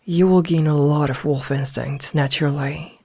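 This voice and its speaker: Soft, soothing motherly werewolf played by a woman. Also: deep voice, fairly even intonation, breathy edge, no room sound. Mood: calm, explaining. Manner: soothing, reassuring, unhurried. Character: gentle motherly female werewolf guiding a newly turned packmate. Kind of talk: soft ASMR roleplay.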